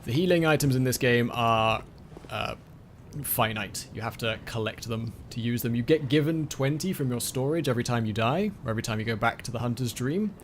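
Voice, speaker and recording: A man's voice: mid-range voice, a little fast, a little hiss or hum. A man streaming a game late in a long session, tired and losing his voice, muttering wry asides to himself and his chat. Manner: muttering, self-deprecating, talking to himself and chat. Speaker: let's play streamer. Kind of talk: casual gameplay commentary.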